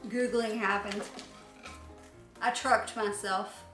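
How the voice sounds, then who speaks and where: A woman's voice: mid-range voice, fairly even intonation, slow pace, a bit bright, recorded from a distance. A woman chatting and bantering casually as co-host of a home vlog. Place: indoors in a trailer home. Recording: roomy echo, off the mic, faint music.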